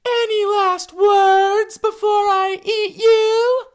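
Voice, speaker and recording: A storyteller voicing a smug female dragon in a slow, high, mocking voice. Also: level tone. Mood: taunting.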